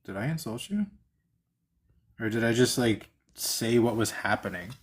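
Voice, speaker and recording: A man's voice: medium pitch, lively intonation, normal speed, husky. A man hosting a live stream, debating and chatting casually in a slangy, argumentative way.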